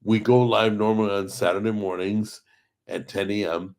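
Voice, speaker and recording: A middle-aged man hosts a live stream in a hoarse, weakened voice. His pitch sits very low, and he talks in a rambling, chatty way, stopping to greet viewers by name. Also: steady tone, moderate pace, a little mellow. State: hoarse from a paralyzed vocal cord. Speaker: host of a cooking channel livestream. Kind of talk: casual live stream chat.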